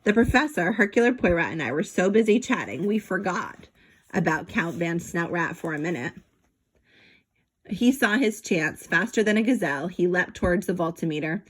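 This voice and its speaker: A woman's voice: lowish voice, animated, normal speed, breathy edge, low-quality recording. A woman reading a children's story aloud expressively, stretching words for emphasis and adding sound effects. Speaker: storybook reader.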